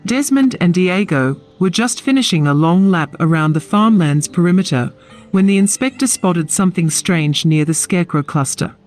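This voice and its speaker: A woman's voice: lowish voice, lively intonation, moderate pace, a little mellow. A woman reading scripted story narration for an audio drama. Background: music.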